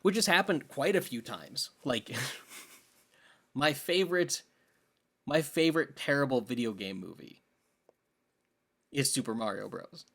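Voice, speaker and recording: A man's voice: medium pitch, lively intonation, measured pace, creaky, long pauses, fairly bright tone, slightly rough. A young adult man co-hosts a casual film podcast. He speaks in an opinionated, emphatic way, dropping slangy asides as he makes his points in loose conversation. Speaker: podcast co-host. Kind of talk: casual film discussion chat.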